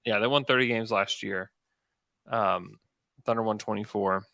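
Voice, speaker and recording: Man, sports talk host, forceful bright voice, dry close sound, conversational, argumentative. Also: fairly low pitch, steady tone, moderate pace, gravelly, no room sound. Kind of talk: casual sports debate.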